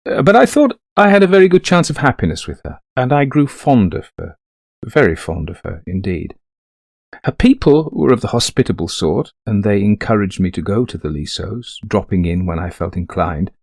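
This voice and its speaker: An actor playing a middle-aged, greying widower in a ghost story, confiding an eerie haunting to a friend in genteel, old-fashioned phrasing. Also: male voice, fairly low pitch, very animated, normal speed, gravelly, darkish tone, dry recording, clean studio sound, heavily acted. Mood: fond, reminiscent. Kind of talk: dramatised first-person ghost story narration.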